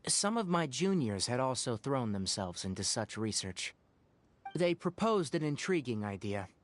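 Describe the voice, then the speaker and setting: An actor playing a serious, principled young male forest ranger and former scholar in a video game, speaking in a measured, articulate, earnest way. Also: mid-range voice, sing-song, lightly acted. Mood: serious, explanatory. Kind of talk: scripted game dialogue.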